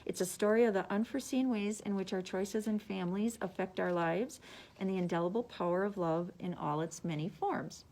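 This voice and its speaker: A woman's voice: mid-range voice, a little fast, darkish tone. Woman librarian reading book summaries. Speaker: librarian presenting book picks. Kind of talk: scripted book summaries read aloud.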